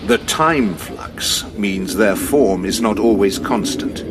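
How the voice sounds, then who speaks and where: A grave, formal general who briefs in a measured, heavy way. His voice sits low, with faint music under the audio drama scene. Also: expressive.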